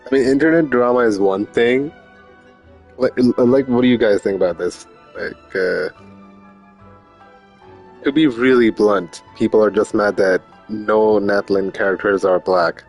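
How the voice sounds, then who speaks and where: A man with a fairly low voice chats casually on a game stream. His way of talking is slangy and informal, with the odd swear word. Faint music plays in the background. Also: a little slow, a little mellow. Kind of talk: casual livestream chat.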